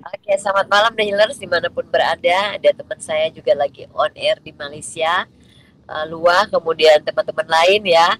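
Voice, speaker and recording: A woman with a stuffy nose from a cold, moderating in a lively, teasing, informal way over a call. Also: lowish voice, normal speed, rough recording. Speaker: doctor moderator.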